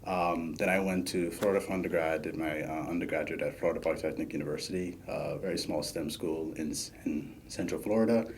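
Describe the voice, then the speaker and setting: A man, a podcast guest talking casually with many pauses and fillers. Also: very deep voice, slight background noise. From Bahamas. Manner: relaxed, many fillers while thinking. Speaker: podcast guest, PhD student. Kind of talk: casual interview chat.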